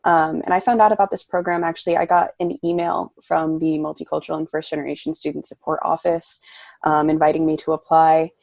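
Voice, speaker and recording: A man's voice: on the high side, flat delivery, a little fast, creaky, a little mellow, no room sound. Young guy student on a panel, earnest, dry close sound. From Rhode Island. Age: young adult. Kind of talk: casual panel talk. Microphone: video call.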